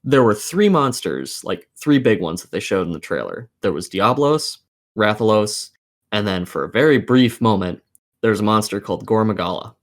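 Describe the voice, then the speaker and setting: A man with a fairly low voice talks on a casual gaming podcast. He rants with exasperated emphasis and swears freely as he lays out his opinions. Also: animated, moderate pace. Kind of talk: heated opinion rant in a casual group chat. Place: remote voice call over the internet.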